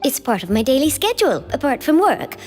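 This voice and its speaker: A woman voicing a polite, gentle young non-human girl who speaks in a calm, matter-of-fact way. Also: high voice, fairly quick. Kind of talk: video game voice acting. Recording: game audio with reverb and music.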